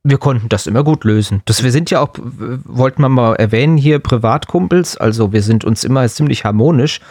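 A man's voice: mid-range voice, a little fast, a little mellow, dry recording, studio quality. A man co-hosting a podcast, chatting casually and answering questions in a rambling, joking way. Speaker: podcast and radio co-host.

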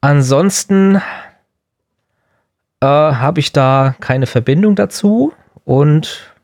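A man's voice: a little high, animated, slow, darkish tone, dry recording, studio quality. A man co-hosting a podcast in casual, joking chat. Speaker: podcast co-host.

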